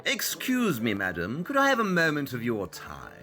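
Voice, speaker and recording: An actor playing a brilliant, arrogant detective in an audio drama, speaking in a clipped, superior manner. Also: male voice, medium pitch, huge pitch swings, moderate pace, pushed and bright, soft background music. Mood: aloof.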